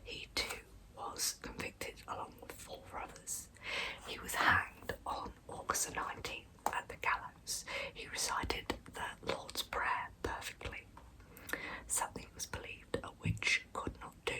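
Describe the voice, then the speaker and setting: A woman narrating true crime as ASMR in a breathy, hushed whisper, telling the story in measured phrases. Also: poor audio. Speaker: ASMR storyteller, channel host.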